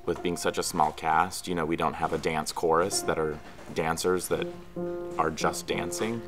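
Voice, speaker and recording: Very deep, even-toned man. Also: moderate pace. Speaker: theatre director. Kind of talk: documentary interview. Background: music.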